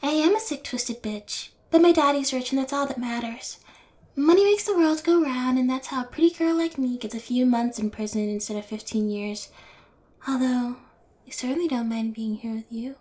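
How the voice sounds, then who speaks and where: A woman's voice: sits high, normal speed, a little mellow, lightly acted. A young woman playing a bold, twisted, spoiled rich yandere girl in prison, in an intimate, teasing audio roleplay spoken to the listener. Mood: taunting, daring. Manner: taunting, brazen, teasing, drops to breathy whispers.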